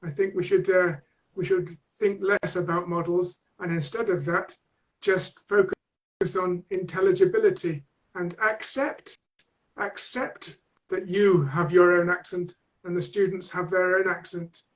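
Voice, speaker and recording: A man's voice: high-pitched, fairly even intonation, measured pace, rough recording. A man with a Manchester accent, a teacher trainer answering interview questions in a thoughtful, hesitant way with many restarts. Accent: Manchester English.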